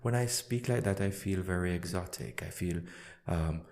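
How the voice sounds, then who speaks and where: A man's voice: low voice, normal speed. A man from Jamaica, an English teacher hosting a podcast, chatting playfully with a student and then reading his show intro. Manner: lively, playful, switches between English and German.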